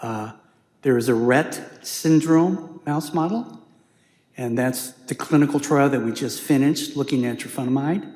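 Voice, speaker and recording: A male physician on a conference panel speaks at a middle pitch and explains things in a measured way. His voice carries a roomy echo with a long hall reverb. The manner is steady and explanatory. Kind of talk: expert panel answer.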